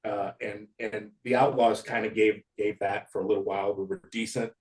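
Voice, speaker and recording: A man's voice: on the low side, little pitch movement, normal speed. A man in his fifties, a former football player, casually reminiscing with old teammates in a rambling way, often laughing. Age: 50s.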